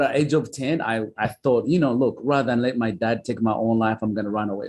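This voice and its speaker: Earnest male guest, husky mid-range voice, recounting his past in plain, heartfelt speech. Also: mid-range voice, a little mellow. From Uganda. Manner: earnest, leans on "you know". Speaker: guest, author and foster father. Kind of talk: interview storytelling.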